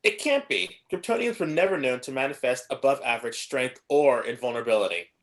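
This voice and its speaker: A man's voice: mid-range voice, animated, fairly quick, close to an everyday voice. A man voicing a skeptical alien robot sidekick in a script table read, fussy and incredulous.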